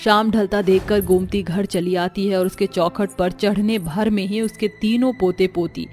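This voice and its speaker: A woman's voice: medium pitch, steady tone, moderate pace, a little mellow, soft background music. A woman giving scripted, dramatic narration of a story.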